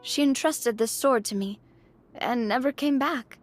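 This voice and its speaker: A woman playing a gentle, reflective, polite young lady in an anime video game, speaking softly. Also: on the high side, measured pace, soft background music, close to an everyday voice. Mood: wistful.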